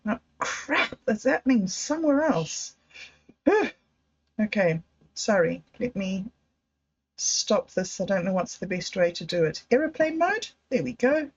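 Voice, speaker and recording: Slow, musing woman vlogger, lo-fi sound. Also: mid-range voice, very animated, breathy edge. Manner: musing, emotional, digressive, with self-mocking laughs. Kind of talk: reflective personal vlog monologue.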